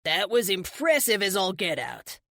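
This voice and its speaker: A woman's voice: medium pitch, big pitch swings, normal speed, sharp tone, no room sound, clean studio sound, exaggerated voice. Woman doing a brash Boston guy superhero. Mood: admiring. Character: brash, good-humored male speedster with big appetite.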